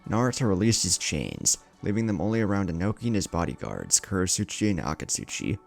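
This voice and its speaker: A man's voice: low voice, big pitch swings, a little fast, creaky, faint music behind. A man narrating an anime fan story in a dramatic scripted style.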